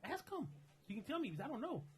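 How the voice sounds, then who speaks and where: A man hosting an internet radio show, chatting casually and loudly with studio guests. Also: very high voice, big pitch swings, quick pace. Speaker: radio host.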